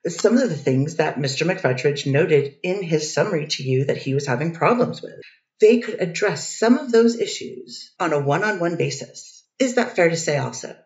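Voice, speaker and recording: A woman's voice: sits low, lively intonation, moderate pace, darkish tone. A woman reading the part of a defense attorney in a courtroom transcript reading, asking methodical cross-examination questions. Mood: steady. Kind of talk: readers theater courtroom reading. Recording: dull distant echoey recording.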